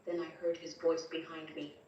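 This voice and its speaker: A very reverberant, distant recording with faint noise carries a woman playing a battered, grieving wife. Her voice is fairly low as she recounts her ordeal. The storytelling is hushed, dramatic and full of dread. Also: flat delivery, moderate pace, highs cut off, natural voice. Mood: haunted, fearful. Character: terrified, grieving young wife recounting abuse from beyond death. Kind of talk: first-person dramatic story narration.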